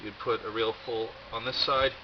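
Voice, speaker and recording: An American man giving a casual, rambling show-and-tell with wry, deadpan humour and a halting delivery. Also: mid-range voice. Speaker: video host. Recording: dull lo-fi audio, loud background noise.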